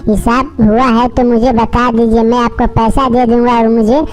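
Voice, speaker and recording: A woman's voice: a little high, flat delivery, moderate pace, a little mellow, voice pushed down, lightly acted. A woman voicing a kindly, poor male bird husband in a cartoon, speaking in a humble, earnest way.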